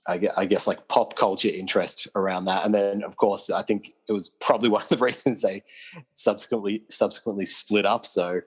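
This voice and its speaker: A man's voice: fairly low pitch, steady tone, brisk, slightly rough. A man, co-hosting a casual film chat, asking questions in a hesitant way with restarts and fillers. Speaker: podcast co-host.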